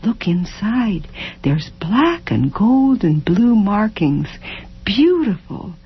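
A woman voicing a gentle, dreamy working mother of four, with a soft, lilting way of lingering over words, on a dull lo-fi recording. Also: medium pitch, sing-song, slow pace, husky, voice pushed up, exaggerated voice. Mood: wondering.

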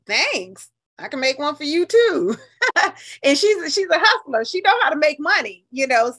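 A woman in her fifties with an American accent, telling stories conversationally in an animated, emphatic, colloquial way as an interview guest. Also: a little high. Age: 50s. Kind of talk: conversational storytelling.

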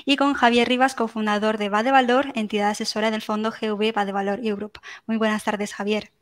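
A woman's voice: mid-range voice, level tone, brisk. Formal woman moderator introducing speakers. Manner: polished, formal. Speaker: webinar moderator.

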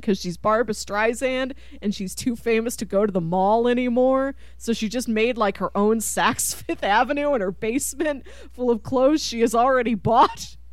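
A woman chatting casually about film as a podcast co-host, with an animated, enthusiastic and giggly manner. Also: a little high, dry recording. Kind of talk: casual chat.

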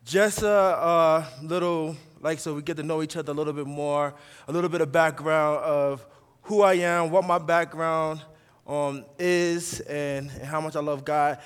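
A man's voice: a little high, fairly even intonation, measured pace, clean tone. A middle-aged man from New York with an American accent, preaching a sermon with emphatic repetition and conversational asides to the congregation. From New York, USA. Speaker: guest preacher, pastor. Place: large reverberant room, preaching to a congregation. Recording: long hall reverb.

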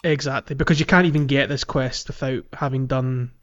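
A man's voice: mid-range voice, fairly even intonation, fairly quick, a bit bright, muffled recording. A man hosting a casual video game podcast, chatting informally and explaining things. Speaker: podcast host.

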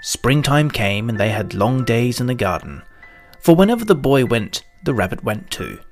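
A man's voice: medium pitch, very animated, normal speed, faint music behind. A man reading a scripted children's story as its narrator.

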